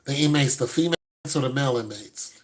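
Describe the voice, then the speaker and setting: A man's voice: mid-range voice, quick pace. A man in his fifties, a host giving blunt, no-nonsense advice to a caller in casual chat. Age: 50s.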